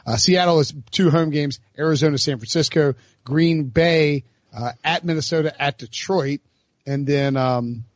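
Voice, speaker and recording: A man's voice: fairly high pitch, moderate pace, no echo, dull audio. A man hosting a casual sports talk podcast, loose and chatty, with frequent fillers and laughter. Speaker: podcast host.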